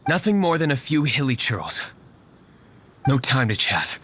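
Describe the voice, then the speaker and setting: A man's voice: medium pitch, very animated, measured pace, fairly bright tone, breathy edge, exaggerated voice. A man playing a stern, aloof young nobleman in a video game, speaking in a curt, dry, formal way. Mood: brisk.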